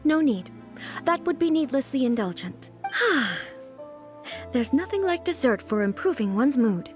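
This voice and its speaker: A composed, regal ruler from a fantasy game. Her high voice moves freely up and down while her diction stays formal and elegant. Faint music plays behind her. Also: big pitch swings, measured pace. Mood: composed, earnest. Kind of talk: video game voice acting.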